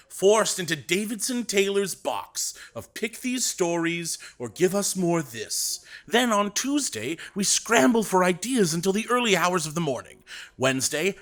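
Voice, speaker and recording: An actor playing a domineering, theatrical radio director who speaks with sarcastic command in an audio drama. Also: male voice, high-pitched, bright, forceful tone, exaggerated voice. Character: grandiose, domineering male radio director, mid-career.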